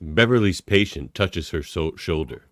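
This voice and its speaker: A man's voice: low-pitched, animated, unhurried, fairly bright tone, no room sound, clean studio sound. A man reading scripted stage directions as narrator of a radio play.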